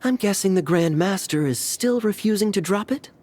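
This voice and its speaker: A man voicing a sly, charming male knight captain in a game, teasing and unhurried. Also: sits high, animated, a little mellow. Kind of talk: game voice acting.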